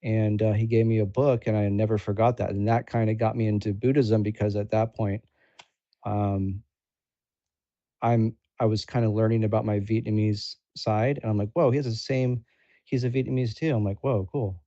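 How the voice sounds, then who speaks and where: A man with a dark, mellow, lowish voice chats casually and haltingly, in loose slangy language. The sound is dull and lo-fi. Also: level tone, no room sound. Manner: informal, halting, slangy with swearing. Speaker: guest, supporter and content creator. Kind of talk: casual chat.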